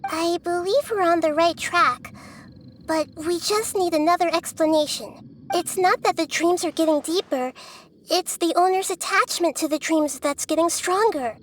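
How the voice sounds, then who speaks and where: A woman voicing an earnest, wise young girl in a video game, explaining things calmly in careful, articulate speech. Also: very high-pitched, normal speed, slight background noise. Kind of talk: English video game voice acting.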